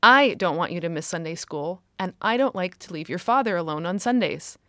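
Woman reading a calm, firm mother. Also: lowish voice, fairly quick, no room sound, studio quality. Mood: patient. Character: patient, firm mother, a professor.

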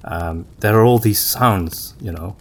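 A man with a fairly low voice hosts a relaxed, conversational podcast. He thinks out loud, leaving pauses as he puts his thoughts together. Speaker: podcast host. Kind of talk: casual conversational podcast chat.

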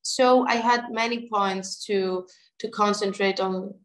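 A young woman singer, answering interview questions in a halting way, often restarting and searching for words. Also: mid-range voice, fairly even intonation, slight background noise, poor audio. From Germany and Greece.

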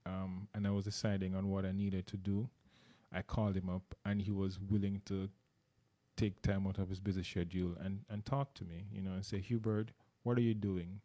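Jamaican man, middle-aged, interview guest; low mellow voice, sincere and halting. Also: flat delivery. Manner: earnest, repeats words while searching for them. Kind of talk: casual interview.

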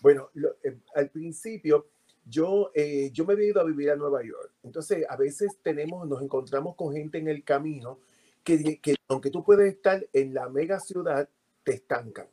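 A Puerto Rican man, a podcast guest, telling animated stories about his life with frequent fillers. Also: fairly high pitch, normal speed. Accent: Puerto Rican Spanish. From Puerto Rico. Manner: animated storytelling with many fillers. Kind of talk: casual interview.

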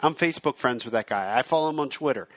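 A man's voice: mid-range voice, expressive, fast, fairly bright tone, no echo. A middle-aged man hosting a podcast, giving frank, conversational advice and dropping his voice for asides. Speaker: podcast host. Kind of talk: casual advice monologue.

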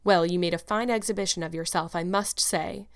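A woman's voice: fairly low pitch, fairly even intonation, fairly quick, natural voice. A woman voicing a stern guardian in her fifties in a storybook, with a firm, dry, scolding manner.

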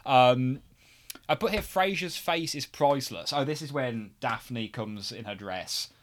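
A man's voice: medium pitch, unhurried. Man in casual, jokey podcast chat. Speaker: podcast co-host reviewing sitcom episodes. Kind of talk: casual chat, episode recap.

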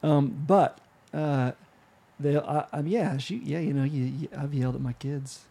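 Man, soft gentle voice, slightly husky, a bit high, halting casual talk. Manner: halting, self-interrupting. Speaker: guest musician. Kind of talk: casual conversation.

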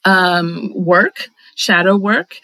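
A woman's voice: lowish voice, extremely slow, pushed and bright, no echo, poor audio. A middle-aged woman from the Dominican Republic, a guest in an interview, talking thoughtfully and articulately with frequent fillers and restarts. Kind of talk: reflective conversational interview.

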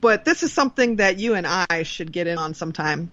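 A woman's voice: lowish voice, expressive, a little fast, a bit bright, no room sound, highs cut off. A woman co-hosting a podcast, casually chatting and reading out entertainment news. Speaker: podcast co-host.

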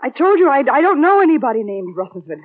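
A woman's voice: high-pitched, sing-song, quick pace, narrow, tinny audio. A woman playing a curt, defensive suspect in a mid-century radio drama. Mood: defiant, defensive. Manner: curt, combative. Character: sharp, defensive woman denying any wrongdoing. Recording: thin band-limited vintage radio recording.

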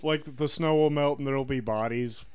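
A man's voice: medium pitch, moderate pace, a crowd in the background. A man from Minnesota, a guest in a casual chat, joking in a dry, exaggerated way.

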